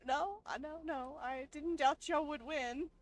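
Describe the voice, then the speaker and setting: A woman's voice: high voice, a little slow. A woman giving chatty, casual gameplay commentary, often talking to the game as she plays. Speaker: guest gamer playing on partner's channel. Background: game music.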